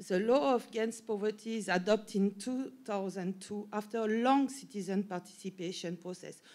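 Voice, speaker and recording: A woman's voice: medium pitch, normal speed, darkish tone. A woman with a French accent and French as her first language, giving an academic conference talk with frequent restarts and self-corrections. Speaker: professor and panel speaker.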